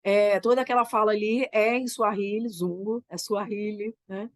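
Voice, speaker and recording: A woman with a Brazilian Portuguese accent talks through her theater work, thinking aloud in halting phrases and checking in with her listener as she goes. She is still getting over a cold, and her voice sits at an ordinary middle pitch for a woman. The recording is dry and close, with no room sound. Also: fairly even intonation, no echo. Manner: thoughtful, halting, frequent "né?" tags. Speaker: theater creator. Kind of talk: reflective conversation.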